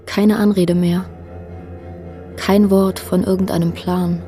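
Tired searching woman, lowish voice, radio play inner monologue, short deliberate bits, faint music behind. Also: level tone. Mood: sombre, introspective. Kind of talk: fragmentary literary radio play recitation.

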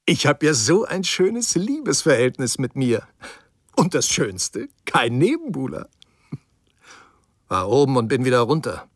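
An actor playing a tormented, self-mocking alcoholic writer in a radio drama, theatrical and halting. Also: male voice, medium pitch, sing-song. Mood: bitter, drunken musing. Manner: theatrical, halting, swinging between murmur and outburst. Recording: music behind the voice.

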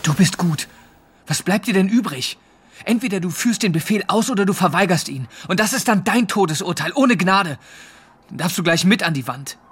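An actor playing a weary young German soldier in a radio drama, speaking in a subdued, terse way. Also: male voice, high voice, lively intonation, moderate pace, fairly bright tone, breathy edge, heavily acted. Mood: weary, bitter. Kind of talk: radio drama acting, dialogue and narrated thoughts.

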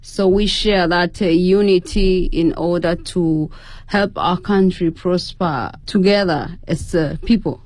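Tanzanian-accented woman guest, halting delivery. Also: on the low side, darkish tone, no echo. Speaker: interview guest, PhD student. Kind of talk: conversational explanation in a radio interview.

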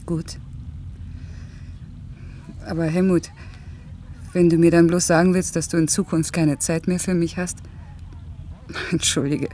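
An actress playing a lonely young single mother in a German radio play, gently reproachful and pleading with her lover. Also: sits low, a little hiss or hum.

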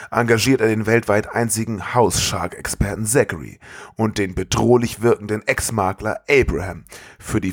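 A man's voice: on the low side, breathy edge. A man hosting a podcast, retelling a story in a casual comedic style. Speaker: podcast host.

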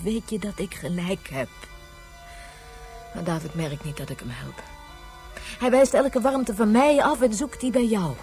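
A woman playing a grieving, reproachful mother in a radio play, with dramatic, halting, emphatic delivery. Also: mid-range voice, darkish tone, close to an everyday voice. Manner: dramatic, halting, emphatic, drops to breathy whispers. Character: despairing, reproachful grieving mother of a lost child.

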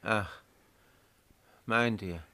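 An actor in a German radio drama playing a fussy, anxious old government minister, hesitant and deferential in a mannered way. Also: male voice, fairly low pitch, drawn-out words, pushed and bright, full character voice. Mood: surprised, probing. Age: older.

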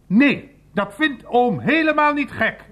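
Bluff old uncle, high swooping voice. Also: male voice, animated, a bit bright, highs cut off, heavily acted. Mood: boastful storytelling. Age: older. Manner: mocking, blustering, drawn-out emphatic phrasing. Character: bluff, teasing, worldly old uncle, earthy and theatrical. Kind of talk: radio play acting.

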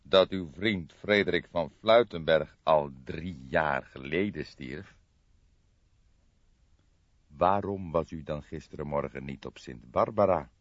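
A man voicing a stern, dogged police detective who questions formally and gruffly in an audiobook. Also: very deep voice, slow pace, dry recording, heavily acted. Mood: suspicious. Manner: gruff, drawling, emphatic, formal. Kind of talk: audiobook character voice. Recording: dull lo-fi audiobook recording.

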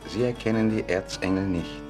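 A low male voice narrates a radio play over loud music. He is a composed, wry announcer, measured and ceremonious, as if presenting a stage scene.

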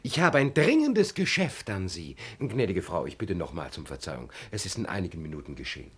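A man's voice: low voice, very animated, a little fast, breathy edge, exaggerated voice. An actor playing an obsequious male court envoy with over-polite manners in a classical German radio play. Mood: courtly, pressing.

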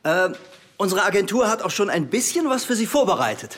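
Eager-to-please male consultant, high animated voice, polite, hesitant, radio satire. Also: brisk, full character voice. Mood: ingratiating. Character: ingratiating, flustered male campaign consultant. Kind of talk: satirical radio drama acting.

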